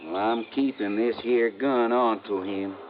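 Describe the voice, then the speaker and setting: An actor voicing a simple, suspicious backwoods sidekick with a rural Southern American drawl in a 1950s radio drama. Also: male voice, lowish voice, steady tone, a little slow, natural voice. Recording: dull, noisy vintage radio recording.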